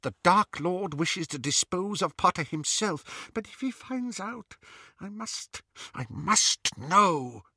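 A man's voice: high-pitched, lively intonation, takes it slowly, bright, forceful tone, slightly rough, no echo, clean studio sound, pitched above the performer's own voice, heavily acted. A man voicing an imperious, frightening witch on the edge of madness, commanding and volatile, in an audiobook story. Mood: anxious muttering. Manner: shrieking, commanding.

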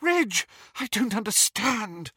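A man's voice: high-pitched, very animated, takes it slowly, bright, forceful tone, husky, no echo, studio quality, pitched above the performer's own voice, full character voice. A man voicing a frightened, breathlessly pleading married witch in an audiobook story. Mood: bewildered.

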